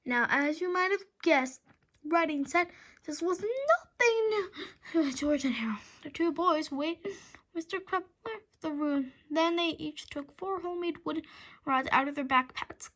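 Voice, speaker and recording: A woman's voice: extremely high pitch, lively intonation, a little slow, fairly bright tone. A woman reading a children's book aloud, stumbling over words now and then and going back over them. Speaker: storybook reader.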